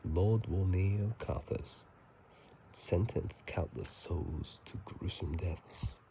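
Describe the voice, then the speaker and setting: A man speaking in a soft ASMR whisper and murmur, casual and halting, recorded on a phone microphone. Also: sits low, creaky. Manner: halting, casual, self-correcting. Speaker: channel host. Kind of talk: whispered ASMR game commentary. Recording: faint noise, phone microphone.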